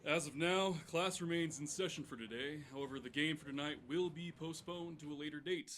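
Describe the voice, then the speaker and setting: A strained high school principal and worried father from an audio drama, played by a man. His voice is fairly high and forceful, with a formal delivery. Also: brisk, slight background noise, natural voice.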